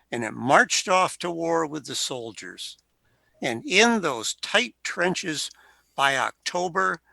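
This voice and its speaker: A man playing a slow, gravelly veteran ghost. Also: a little high, pushed and bright, no room sound, full character voice, rough recording. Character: male World War One veteran ghost, wistful, proud. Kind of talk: amateur radio play acting.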